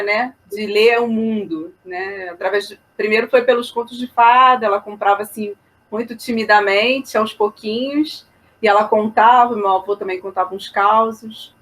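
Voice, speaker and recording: A woman's voice: on the high side, a bit bright. A woman hosting a casual interview chat, a literature teacher who talks chattily and keeps tagging questions onto her sentences. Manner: chatty, with frequent tag questions.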